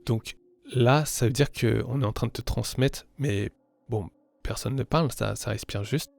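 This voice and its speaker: A man improvising narration as game master of a tabletop horror game, halting and pausing often between phrases. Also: mid-range voice, creaky, darkish tone, no echo.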